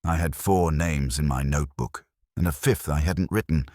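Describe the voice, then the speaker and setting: A man's voice: extremely low pitch, normal speed, a little mellow, no room sound, studio quality. A man reading a scripted first-person detective story as an audiobook narrator.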